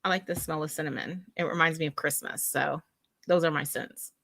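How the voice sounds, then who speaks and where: Chatty woman, bright dry voice. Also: deep voice, fairly quick, creaky, no room sound. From West Texas. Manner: chatty, animated. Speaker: co-host. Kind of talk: casual live chat.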